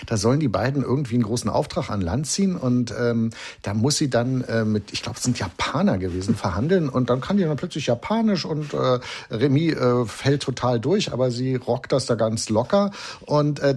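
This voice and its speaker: A man reviewing films in a radio conversation, retelling plots in a lively way with many fillers. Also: medium pitch, slightly rough. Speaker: film critic.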